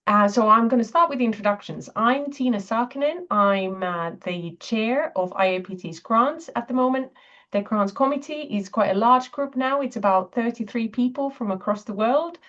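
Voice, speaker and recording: A woman from Finland speaks English as a second language while chairing a video-call webinar. She pauses on fillers now and then. The audio sounds dull and lo-fi. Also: on the high side, a bit bright. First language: Finnish. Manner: hesitant, with frequent fillers. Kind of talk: online webinar presentation.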